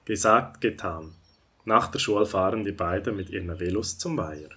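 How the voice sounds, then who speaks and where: An actor narrating a children's animal story as a calm storyteller, with a Swiss German accent. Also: male voice, deep voice, normal speed, husky, heavily acted. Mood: storytelling.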